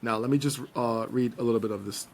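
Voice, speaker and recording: A man hosting a casual podcast, slightly hoarse, playful and joking as he gives shout-outs and talks news. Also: medium pitch, fairly even intonation, fast talker. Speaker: podcast host.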